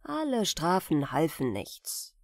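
A woman's voice: low-pitched, wildly swooping pitch, takes it slowly, a little mellow, no echo, clean studio sound. A woman narrating a fairy tale as a scripted bedtime audiobook.

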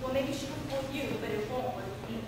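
Feisty high-voiced woman, acted on stage, echoey hall. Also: fast talker, natural voice. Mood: scornful. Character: feisty, jealous woman at a New Year's party. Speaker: student stage actor. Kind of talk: live stage play acting. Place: school theater stage, indoors. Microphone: distant camera in the audience. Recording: echoey noisy hall from far off.

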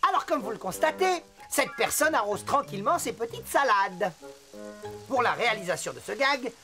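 Bright, cocky cartoon tomcat. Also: female voice, on the high side, animated, normal speed, faint music behind. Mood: showing off. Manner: brash, showing off. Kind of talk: French cartoon dubbing.

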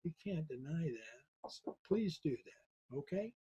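A man's voice: a little high, very animated, measured pace, warm tone, breathy edge, lo-fi sound. A man in his seventies, talking to camera from his living room, earnestly urging and lecturing his listeners, repeating points for weight. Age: 70s. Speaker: podcast host. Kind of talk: earnest direct-to-camera appeal. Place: home living room, indoors.

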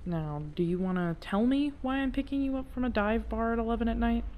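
A woman's voice: fairly high pitch, highs cut off, a little hiss or hum, close to an everyday voice. Woman acting a protective, exasperated close friend. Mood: concerned, probing. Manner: halting, repetitive, emphatic. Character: protective, exasperated friend secretly in love, driving someone home. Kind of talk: intimate ASMR audio roleplay acting.

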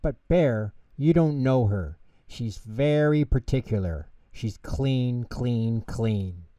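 A man voicing a small, excitable, anxious young male mouse in a children's storybook, fretting and repeating words. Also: medium pitch, takes it slowly, no room sound, natural voice. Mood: anxious, flustered.

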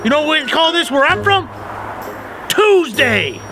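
Guy doing a goofy giggly snow lover, high bouncy voice, slow, music behind. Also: very animated, background noise, full character voice. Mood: boastful. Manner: silly, boastful, giggly. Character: goofy snow-loving man in a shaggy wig. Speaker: comic character. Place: snowy outdoor playground.

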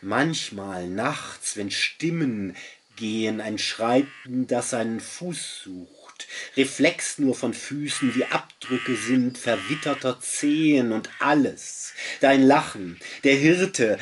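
A man in his fifties with a husky voice gives a solemn, incantatory poetry recitation with deliberate pauses. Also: mid-range voice. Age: 50s. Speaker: poet reading own work. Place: small book-filled study indoors by a window. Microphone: webcam or desk mic at close range.

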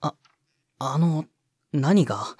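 A man's voice: on the high side, lively intonation, extremely slow, darkish tone, husky, no room sound, clean studio sound, full character voice. A man playing a nervous, deferential young junior sound engineer in a voice drama, hesitant and polite. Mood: hesitant, worried. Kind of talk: Japanese voice drama acting.

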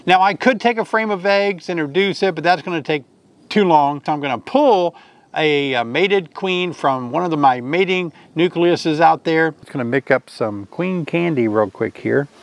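A man's voice: on the high side, animated, normal speed, no room sound. An older man teaching beekeeping in a casual vlog, chatty and often asking viewers questions, recorded outdoors by a hive. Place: outdoors by a hive, then a covered porch.

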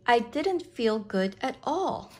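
A woman reading a picture book aloud to children, with expressive, deliberate, dramatic phrasing. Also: on the high side, slight background noise.

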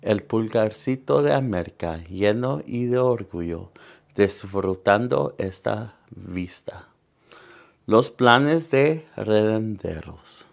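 A man's voice: fairly low pitch, lively intonation, slow pace, gravelly. A man in his forties with a Salvadoran accent, hosting a storytime and reading a picture book aloud, explaining things to children. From San Salvador, El Salvador. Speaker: storytime host. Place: indoor room in front of wooden backdrop.

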